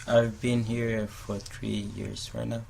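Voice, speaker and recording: A man's voice: on the low side, level tone, takes it slowly, vocal fry, darkish tone, slight background noise, rough recording. A teenage boy from Congo, a soccer player giving short answers in an interview. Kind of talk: informal interview.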